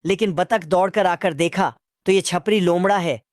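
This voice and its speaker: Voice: mid-range voice, normal speed, no echo, studio quality. A narrator recapping a cartoon in a casual, chatty way with a bit of street slang. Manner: asks viewers to comment.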